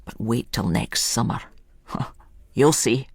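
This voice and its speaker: A woman's voice: very deep voice, takes it slowly, darkish tone, slightly rough, no room sound, clean studio sound, voice pushed down, full character voice. A woman voicing an eccentric, proud old rustic man with a Scottish accent in an audio story, speaking in folksy dialect. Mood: conspiratorial glee. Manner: folksy dialect, matter-of-fact pride. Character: old rustic male hedger, proud, eccentric asylum leaver.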